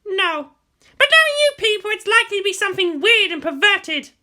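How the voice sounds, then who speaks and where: A woman voicing a fiery, indignant, bratty teenage girl who talks in loud, huffy accusations in a story reading. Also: extremely high pitch, very animated, brisk, voice pushed up, full character voice. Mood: huffy, outraged.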